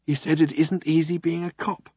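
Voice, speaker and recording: A man's voice: on the high side, little pitch movement, moderate pace, warm tone, slightly rough, no echo, voice pushed up. A laid-back alien rogue tosses off dry remarks, as a male narrator voices him. He sits close to the mic in a dry, close recording. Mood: offhand.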